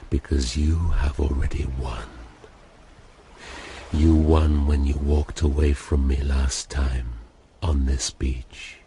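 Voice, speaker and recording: All-knowing artificial intelligence: rock-bottom pitch, unhurried, resigned and solemn radio drama delivery. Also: male voice, dark tone, a little hiss or hum. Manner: solemn, deliberate.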